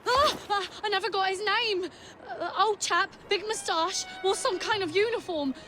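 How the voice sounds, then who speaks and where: A man's voice: very high-pitched, normal speed, sharp tone, soft background music. An actor playing a panicky male street-gang thug, squealing and pleading in a game cutscene. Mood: terrified. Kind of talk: video game cutscene acting.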